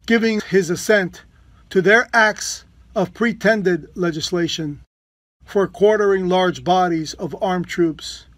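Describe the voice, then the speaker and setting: A man's voice: sits high, takes it slowly, a bit bright, no echo. A man reading a historical document aloud in a formal style. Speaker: volunteer reader.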